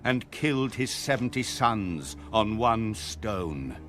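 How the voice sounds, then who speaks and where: A man narrating scripture in a solemn, measured and dramatic style. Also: on the low side, sing-song, a bit bright. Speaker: audio Bible narrator. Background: background music.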